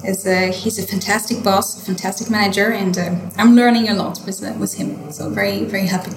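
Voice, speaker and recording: A young French woman winemaker speaks English with a clear French accent, stopping on fillers and repeating words as she searches for them. Her voice sits mid-pitch and carries the ringing echo of a stone wine cellar. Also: steady tone, a little fast, slight background noise. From France. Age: late 20s. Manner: hesitant, many fillers and restarts. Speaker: winemaker guest. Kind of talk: interview answers.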